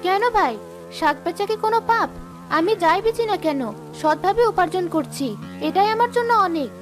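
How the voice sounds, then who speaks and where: A woman's voice: very high voice, expressive, normal speed, music in the background. A woman voicing a poor, honest and determined village wife in a cartoon story, earnest and plaintive. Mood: firm, earnest. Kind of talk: Bengali cartoon story dialogue.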